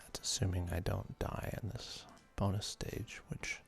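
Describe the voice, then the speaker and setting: A man's voice: low voice, steady tone, a little slow, soft background music. A man whispering ASMR commentary as he hosts a video game let's play. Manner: soft, breathy whispering.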